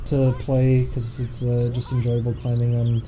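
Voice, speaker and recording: A young man chatting casually on a game stream, reading out donation messages in a relaxed, meandering way. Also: medium pitch, moderate pace, slight background noise.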